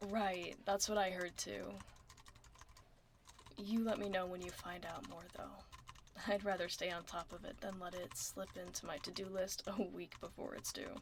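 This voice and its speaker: A woman playing a laid-back, caring tomboy werewolf office admin in a soft, casual, often breathy ASMR roleplay, close to the microphone. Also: medium pitch, slight background noise, natural voice. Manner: casual, teasing, reassuring. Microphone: close binaural microphone.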